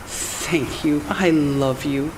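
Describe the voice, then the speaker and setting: An actress playing a fearful, fragile wife in a gothic horror radio drama, theatrical and anguished. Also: female voice, low voice, very slow. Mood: anxious, tender. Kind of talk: stage radio drama acting. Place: live theatre stage. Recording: reverberant theatre stage, clear noise behind.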